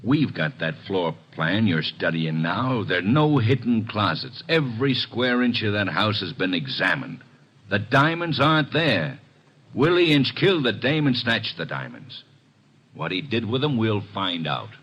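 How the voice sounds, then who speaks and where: An actor playing a gruff, stubborn police inspector in an old radio drama, blunt and impatient, with a rough voice. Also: male voice, lowish voice, sing-song, unhurried, fairly bright tone, heavily acted. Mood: insistent. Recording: muffled old radio broadcast recording.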